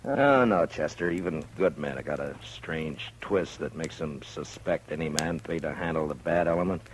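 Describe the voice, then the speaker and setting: An American man acting a steady, weary frontier marshal in a 1950s radio western, measured, earnest and understated. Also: rumbling low. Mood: reflective. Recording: noisy vintage 1950s radio recording.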